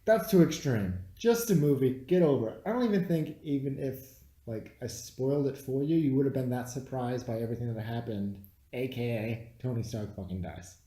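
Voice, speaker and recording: Mellow-voiced man in an echoey room. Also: mid-range voice, moderate pace. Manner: blunt, dismissive, teasing. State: has been drinking beer. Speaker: podcast co-host reviewing a film. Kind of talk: casual, profane chat. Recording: roomy, echoey home recording.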